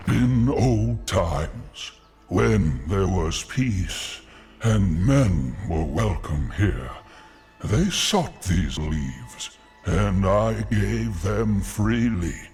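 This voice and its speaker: An ancient guardian spirit of nature, weary yet benevolent, in a fantasy game. The low, gravelly voice moves extremely slowly and solemnly, with soft music behind it. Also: male voice, expressive, full character voice. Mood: solemn, kindly. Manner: slow, solemn, formal.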